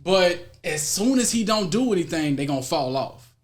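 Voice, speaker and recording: Young american guy guesting on a chat show, kinda high voice, slangy n emphatic. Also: animated, moderate pace. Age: young adult. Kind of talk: casual conversation.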